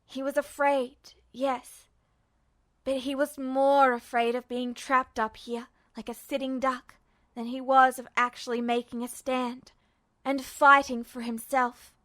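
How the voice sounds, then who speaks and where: A woman reading fan fiction aloud as scripted narration, with dramatic, expressive storytelling. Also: high-pitched, measured pace. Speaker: amateur audiobook narrator.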